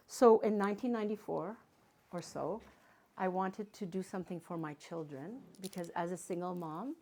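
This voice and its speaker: Older woman, guest speaker. Lower voice, chatty, tells stories with playful asides. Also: a little mellow, a little hiss or hum. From Canada. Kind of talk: casual talk to a live audience.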